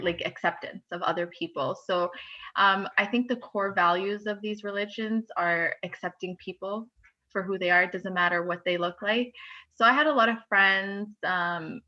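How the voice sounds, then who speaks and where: Woman, bright voice, casual storytelling, halting delivery, slightly dull recording. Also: medium pitch, fairly even intonation. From Somalia, moved to Canada as a child via Italy. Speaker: interview guest. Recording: dull, limited-band audio.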